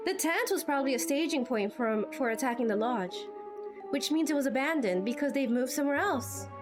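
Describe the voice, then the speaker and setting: A woman's voice: sits high, lively intonation, brisk, over music. A woman voicing a composed, analytical young female trainee fighter, thoughtful and explanatory, in amateur game dubbing. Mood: reasoning.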